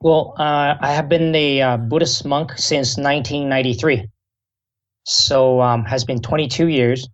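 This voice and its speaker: Middle-aged Taiwan-born man, halting speech. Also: mid-range voice, fairly even intonation, highs cut off. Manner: slow, halting speech with many fillers. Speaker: guest, Buddhist monk. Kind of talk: interview answers.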